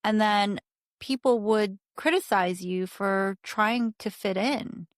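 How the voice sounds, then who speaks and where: A woman co-hosting a podcast, explaining ideas in a measured academic way and asking probing questions. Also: mid-range voice, no echo, studio quality. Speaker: podcast co-host. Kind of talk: academic interview conversation.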